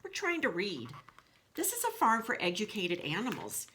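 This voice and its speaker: An educated storybook farm pig, read by a woman, unimpressed and politely firm. The voice is bright and crisp in intent, dismissing others with good manners. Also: medium pitch, huge pitch swings, moderate pace, a little hiss or hum. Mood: politely dismissive.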